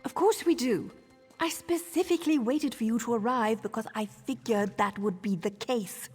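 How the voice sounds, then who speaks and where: A woman's voice: a little high, moderate pace, a little mellow, husky, big-room sound. A woman playing a sardonic, impatient assassin in a video game, with a wry, threatening delivery. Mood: wry menace. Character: sardonic, impatient female assassin, deadly and businesslike. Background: game music.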